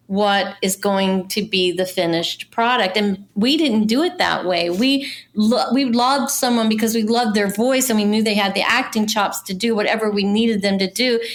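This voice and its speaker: A woman's voice: a little high, fairly bright tone. A woman speaking conversationally as a casting director in an interview, explaining things and offering practical advice.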